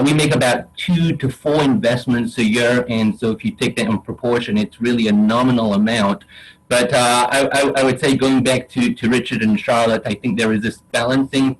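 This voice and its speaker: A man with a Geordie accent from Newcastle, speaking as a venture investor on a webinar panel, halting with many restarts and fillers. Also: medium pitch, husky, low-quality recording. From Newcastle, England.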